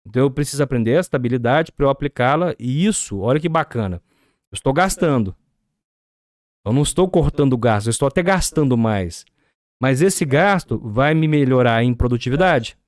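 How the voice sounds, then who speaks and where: A man's voice: mid-range voice, expressive, fairly quick, no echo, studio quality. A Brazilian man with a Brazilian Portuguese accent, a podcast guest explaining business ideas and asking rhetorical questions.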